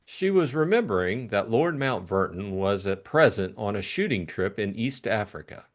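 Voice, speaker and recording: A man narrating a classic novel as an expressive audiobook reader. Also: lowish voice, moderate pace.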